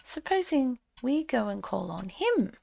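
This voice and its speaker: A woman's voice: sits high, sing-song, unhurried, vocal fry, a little mellow, heavily acted. A woman voicing an eager, naive male mole in a storybook, coaxing and hopeful.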